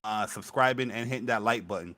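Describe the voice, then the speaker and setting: A young man co-hosting a casual gaming podcast, energetic and hyped as he talks. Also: on the low side, a little fast. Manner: energetic, hype. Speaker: podcast co-host. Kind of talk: casual chat.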